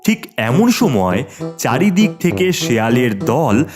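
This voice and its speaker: A man narrating a children's cartoon tale as a scripted, expressive storyteller. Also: mid-range voice, measured pace, over music.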